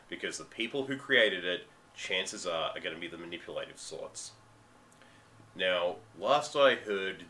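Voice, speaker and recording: Aussie man, 30s, low voice with a cold, emphatic webcam rant. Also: sharp tone, slight background noise. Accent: Australian. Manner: ranting, emphatic, drawn-out stresses. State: getting over a cold. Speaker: vlogger. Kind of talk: commentary monologue. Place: dim room at home, indoors.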